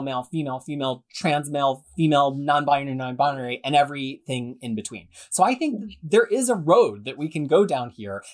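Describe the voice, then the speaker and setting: A man hosting a podcast discussion, steering the talk and handing over to the panel. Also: on the high side. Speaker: podcast host.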